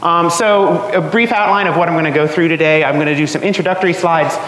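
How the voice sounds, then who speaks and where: A man in his thirties giving a relaxed academic seminar talk in a lecture room, with lots of ums and uhs. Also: a little high, fast. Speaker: guest seminar speaker. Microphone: room microphone. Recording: echoey hall, faint noise.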